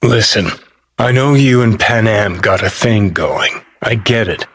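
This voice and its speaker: A man's voice: on the low side, measured pace, a bit bright. A man playing a cynical, brash rebel rocker in a video game, sardonic, blunt and foul-mouthed. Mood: serious, blunt. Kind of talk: video game character acting.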